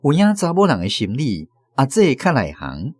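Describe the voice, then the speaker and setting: A man's voice: medium pitch, huge pitch swings, normal speed, no echo, studio quality. A man with a Taiwanese accent reading scripted story narration for a radio drama.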